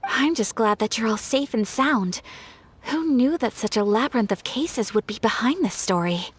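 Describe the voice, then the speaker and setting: A kind, sympathetic character in a video game. Her voice is slightly high, gentle and breathy. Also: expressive, moderate pace. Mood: relieved, wondering. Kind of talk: video game cutscene acting.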